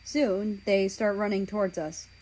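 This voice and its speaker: A woman's voice: medium pitch, steady tone, a little slow, darkish tone, low-quality recording. A woman narrating a story aloud in a dramatic reading style. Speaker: fan fiction reader.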